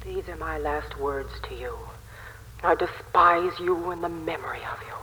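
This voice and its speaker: A bitter, scorned young woman speaks in a low, breathy half-whisper. It is 1940s radio melodrama, with faint background noise. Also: moderate pace, bright, forceful tone, poor audio. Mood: bitter, hateful. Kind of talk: hushed 1940s radio drama acting.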